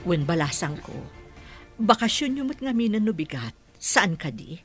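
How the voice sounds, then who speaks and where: A woman's voice: lowish voice, very animated, moderate pace, faint music behind. A woman acting a worried, pleading mother who longs for her son in an Ilocano radio drama. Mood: grateful, tender.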